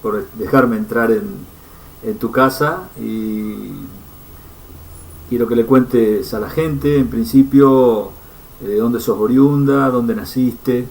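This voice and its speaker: A man's voice: mid-range voice, slow, a little mellow, distant mic, rough recording. A man hosting an interview, friendly and hesitant, filling with "eh" and "ujú". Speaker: interview host.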